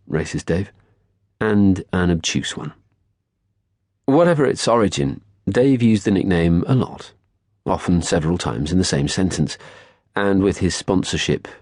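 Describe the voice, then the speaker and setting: Deep, husky male audiobook narrator. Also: a little mellow, no room sound, clean studio sound. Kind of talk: scripted first-person literary narration.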